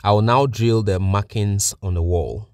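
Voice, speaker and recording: A man's voice: lowish voice, a little slow, very noisy. A man giving methodical, plain-spoken step-by-step narration as a technician presenting a practical tutorial.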